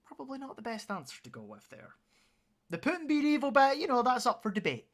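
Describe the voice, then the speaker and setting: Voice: high voice, huge pitch swings, fast talker. A streamer giving sarcastic, sweary reactions while playing a video game.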